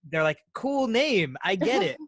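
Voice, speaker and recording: A young man from New Jersey, a band member telling animated stories in an interview. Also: sits high, a little slow, no room sound, clean studio sound. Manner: animated storytelling, quoting others. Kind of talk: casual video call interview.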